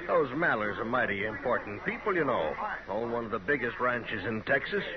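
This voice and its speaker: A man's voice: mid-range voice, expressive, normal speed, natural voice. A man with an American accent playing a fussy, appeasing frontier businessman, pleading in a 1950s radio drama style. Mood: placating. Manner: pleading, placating. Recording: muffled 1950s radio recording, crowd behind.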